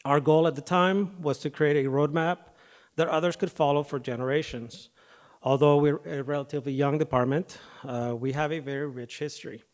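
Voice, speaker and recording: Firefighter giving a speech at the podium. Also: male voice, on the high side, normal speed. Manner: hesitant start with fillers, then steady reading of a prepared speech. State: voice affected by recent dental work and illness. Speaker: firefighter paramedic and foundation president. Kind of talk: prepared speech at an awards podium. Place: awards ceremony hall. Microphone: podium microphone.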